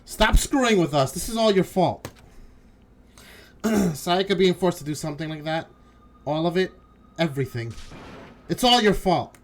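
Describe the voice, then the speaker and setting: A man's voice: high-pitched, animated, unhurried, pauses often, slight background noise. A man voicing an earnest, anguished teenage schoolboy protagonist in a fan dub of a video game. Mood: angry, anguished. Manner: earnest, emotional.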